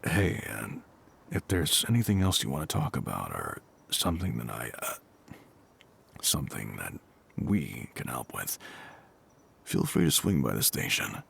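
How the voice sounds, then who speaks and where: A man playing a gruff, kindly middle-aged firefighter captain in an intimate audio roleplay, reassuring and a little awkward, with a gravelly voice. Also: very deep voice, very animated, full character voice. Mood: gentle, concerned. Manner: reassuring, slightly awkward, hesitant pauses.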